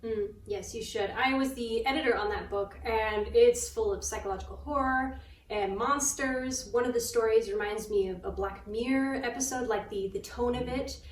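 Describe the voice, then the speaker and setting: A woman's voice: fairly high pitch, moderate pace. A woman hosting a show in casual chat, as a book editor talking with a co-host. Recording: roomy, distant mic with noise.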